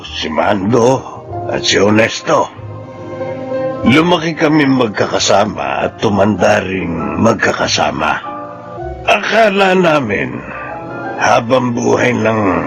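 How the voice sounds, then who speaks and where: Slow, gravelly older Filipino man. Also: lowish voice, full character voice. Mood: somber, reflective. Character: anxious sixty-year-old village man, reflective and sociable. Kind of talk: Filipino radio drama acting and narration.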